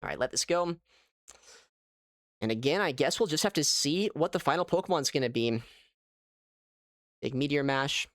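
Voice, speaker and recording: A man giving casual live gameplay commentary as a streamer, thinking aloud in an animated way. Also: fairly high pitch, moderate pace, long pauses, no echo, studio quality. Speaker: gaming streamer.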